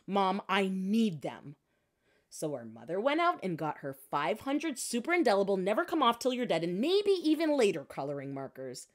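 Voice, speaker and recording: A woman reading a children's picture book aloud in an expressive narrating style. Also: mid-range voice, brisk, few pauses. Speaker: storybook reader.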